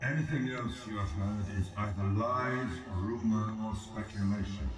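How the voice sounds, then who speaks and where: Deep, echoing ancient god-emperor voiced by a man. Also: close to an everyday voice. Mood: solemn, guarded. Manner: solemn, formal, deliberate delivery. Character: ancient exiled male god-emperor, aloof, measured, imperious. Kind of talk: scripted video game dialogue. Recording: echoing, distant voice over music.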